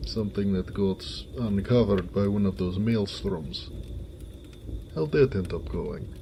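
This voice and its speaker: Big friendly orc blacksmith , male actor, audio roleplay. Mellow, slow-spoken, folksy and fond, over faint background noise. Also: fairly low pitch, gravelly. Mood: warm, attentive. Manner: folksy, affectionate, easygoing. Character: big, warm-hearted orc blacksmith, affectionate and jovial. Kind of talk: intimate romantic audio roleplay, one-sided conversation.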